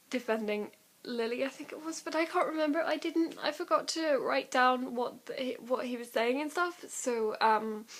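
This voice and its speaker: Young woman, fairly high voice, unhurried delivery, rambling vlog chat, informal, self-deprecating. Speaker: amateur vlogger. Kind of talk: casual rambling chat to camera.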